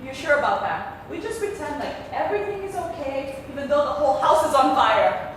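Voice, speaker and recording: A woman's voice: high-pitched, expressive, fairly quick. A woman acting in a stage play as an outspoken, suspicious, sarcastic grown daughter of a wealthy man. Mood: reluctant, dry. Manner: sarcastic, pointed. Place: theatre stage. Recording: echoey theatre hall, distant, noisy.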